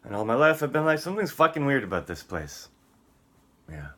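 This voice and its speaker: A man's voice: mid-range voice, sing-song, brisk, a bit bright. A man on a rambling solo vlog rant, profane and combative, swinging between muttering and outbursts. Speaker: vlogger.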